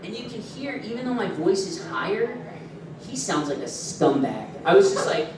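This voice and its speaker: A man's voice: high voice, normal speed, background noise. Echoey distant male panel voice. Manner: animated, laughing storyteller. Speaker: voice actor at convention panel. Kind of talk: casual panel talk. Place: convention panel room. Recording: echoey room from far off.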